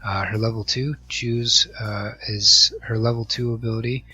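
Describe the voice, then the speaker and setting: A hoarse man with a cold commentating an online card game match, reading out card text with many halting fillers. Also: on the low side, level tone, pushed and bright. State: hoarse from a virus. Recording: dull lo-fi mic with faint music.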